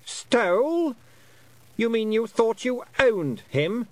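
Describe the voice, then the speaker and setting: A haughty fairy Queen, acted out by a male narrator for an audiobook. The voice sits high and swings widely, cold and condescending. The words are drawn out with mock politeness and scornful sweetness. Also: very animated, takes it slowly, no echo, full character voice. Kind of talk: audiobook character voice.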